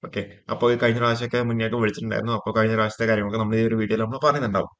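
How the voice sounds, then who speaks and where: A man's voice: on the low side, little pitch movement, racing, fairly bright tone, dull audio. A man with a Malayali accent presenting an informal coaching explainer to viewers, mixing in English terms and repeating stock phrases.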